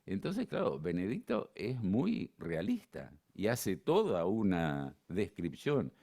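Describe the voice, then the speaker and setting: Deep-voiced older Argentine man lecturing. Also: wildly swooping pitch, takes it slowly, creaky, dark tone. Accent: Argentine Spanish, not Buenos Aires. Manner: digressive, conversational, with frequent fillers. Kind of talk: conference lecture.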